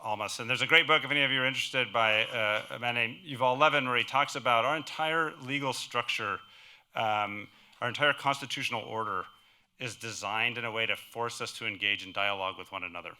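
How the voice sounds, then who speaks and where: A male moderator leads a conference panel with a casual, friendly touch. His voice is mid-pitched for a man and strikingly bright and forceful. He moves the talk along with quick, easy asides. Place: conference panel before an audience.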